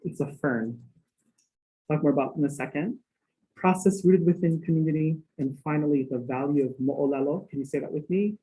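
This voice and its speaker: A man's voice: on the high side, normal speed, mellow, rough recording. A man from Hawaii giving an earnest academic talk as guest lecturer, with rhythmic phrasing and playful asides.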